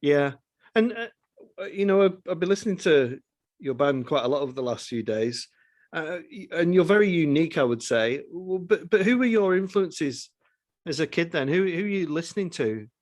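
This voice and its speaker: A male podcast host with a slightly high-pitched voice, recorded dry with little room sound. He asks questions in a conversational way, often doubling back on his words as he puts them together. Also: expressive, moderate pace, no echo. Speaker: podcast host interviewing a musician. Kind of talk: conversational interview.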